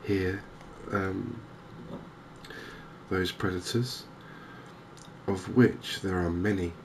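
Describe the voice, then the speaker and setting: Slow, low-voiced English man, softly spoken. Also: steady tone, gravelly, noisy background. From England. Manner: soft-spoken, gentle, unhurried. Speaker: gaming channel host. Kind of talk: softly spoken cozy ASMR game commentary.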